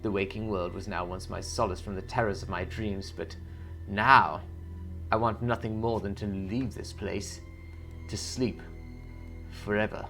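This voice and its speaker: A man voicing a haunted, guilt-ridden warlock in a solemn, measured dramatic reading of a journal. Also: lowish voice, fairly even intonation, sharp tone, soft background music, poor audio. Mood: despairing, weary. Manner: solemn, measured, brooding delivery.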